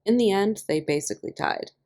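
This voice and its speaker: A woman reading a story aloud as a podfic narrator, with expressive scripted delivery. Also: mid-range voice, fairly quick. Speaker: podfic reader.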